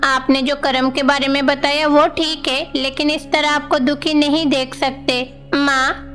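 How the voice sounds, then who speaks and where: A woman's voice: high-pitched, a bit bright. A woman voicing an earnest, caring young boy god in a devotional story. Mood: earnest, reassuring. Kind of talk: devotional animated story dialogue.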